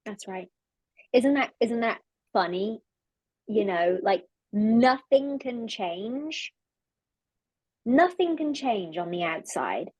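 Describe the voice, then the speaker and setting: A woman's voice: on the high side, lively intonation, takes it slowly, long pauses, breathy edge, dry recording. A woman with an English accent, a guest coach talking about her life in an earnest, reflective way, often restarting her phrases. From London. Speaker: podcast guest, leadership coach. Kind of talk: casual conversation.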